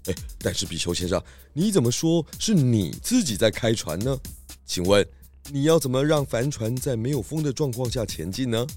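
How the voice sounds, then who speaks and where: A man playing a composed, astute pig detective, questioning deliberately in a children's radio drama. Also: medium pitch, big pitch swings, a little mellow, faint music behind, lightly acted. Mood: triumphant, questioning.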